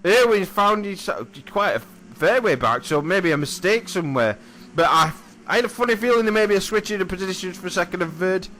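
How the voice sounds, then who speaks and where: A man with a hoarse, croaky voice commentating a replay of his own sim race, chatty and often apologising and correcting himself. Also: sits high, animated, fairly quick, fairly bright tone. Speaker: sim racing commentator and driver.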